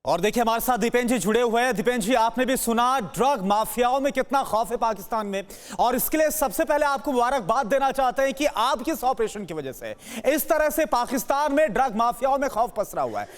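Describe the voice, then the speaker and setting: A woman's voice: on the high side, expressive, fairly quick. A woman news anchor putting long, emphatic questions to a guest in a live TV interview.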